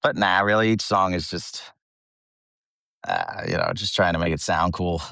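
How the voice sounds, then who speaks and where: Low voiced guy from the us, band singer rambling casually in an interview, self-deprecating, dry sound. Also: huge pitch swings, moderate pace, gravelly, bright, forceful tone, no room sound. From United States. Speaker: metal band vocalist, interview guest.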